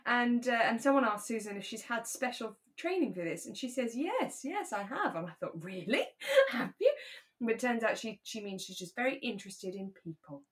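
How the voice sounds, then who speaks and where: A woman's voice: on the high side, very animated, normal speed. A British woman co-hosting a podcast, chatting casually about a radio drama in a chatty, giggly way. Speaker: podcast co-host.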